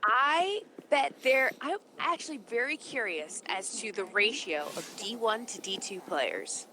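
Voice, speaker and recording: A woman's voice: a little high, big pitch swings, measured pace, background noise. A woman playing along on a game livestream, bantering casually and playfully. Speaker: livestream co-player. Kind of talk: casual banter while gaming. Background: game music.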